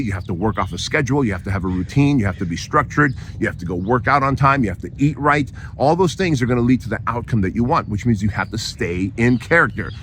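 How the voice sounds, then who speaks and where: A hoarse man hosting a podcast, delivering an emphatic motivational monologue and often checking in with "right?". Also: mid-range voice, a bit bright, a little hiss or hum. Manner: emphatic, repeats phrases, frequent tag "right?". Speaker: podcast host.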